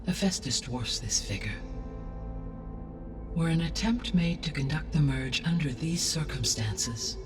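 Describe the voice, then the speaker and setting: A calm, clinical artificial intelligence, voiced by a man, sets out its facts in a measured, exact cadence. It speaks from slightly off the mic. Strong music plays beneath it in a game cutscene. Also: on the high side, recorded from a distance. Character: calm ancient artificial intelligence guiding the heroine. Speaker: video game character.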